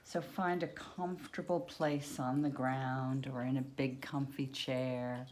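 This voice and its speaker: A woman leading a guided yoga nidra meditation, speaking unhurriedly in short phrases with long pauses between them. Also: extremely low pitch, fairly even intonation, a little mellow, slight background noise.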